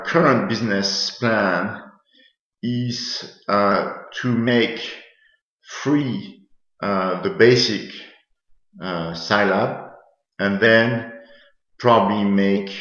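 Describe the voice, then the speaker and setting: A middle-aged man giving a software tutorial as a lecturer, speaking in a halting, deliberate way and explaining each step as he types. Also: fairly low pitch. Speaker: university lecturer. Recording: roomy, dull webcam sound.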